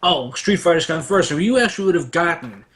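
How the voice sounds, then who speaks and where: Slightly high-voiced man rambling through trivia. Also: lively intonation, fast talker, a bit bright. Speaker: debate show panelist. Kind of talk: casual pop-culture debate, trivia-sharing.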